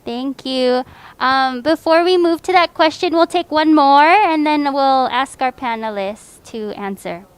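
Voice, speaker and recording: A woman's voice: high-pitched, measured pace. A woman acting as panel moderator, managing a question session.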